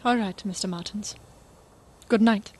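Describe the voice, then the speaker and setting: A woman's voice: medium pitch, measured pace, very noisy, lightly acted. An actress playing a sorrowful, guarded Czech woman in a postwar radio drama. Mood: resigned, urging.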